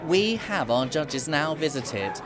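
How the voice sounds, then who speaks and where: A man's voice: a little high, slow, over music. A man, an awards organiser, speaking effusively and with a lot of enthusiasm as an interview guest. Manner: effusive, gushing, lively.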